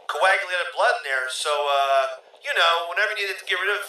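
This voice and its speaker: A man acts an awkward, affable plumber in an audio comedy. His voice is high for a man and very bright, and he stammers politely as he goes. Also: fairly quick, a little hiss or hum, low-quality recording. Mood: affable, matter-of-fact. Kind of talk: comedic audio play acting.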